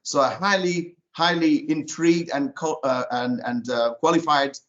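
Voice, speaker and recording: Halting moderator introducing a speaker. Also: male voice, on the high side, fairly bright tone. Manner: halting, with frequent fillers. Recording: muffled video call audio.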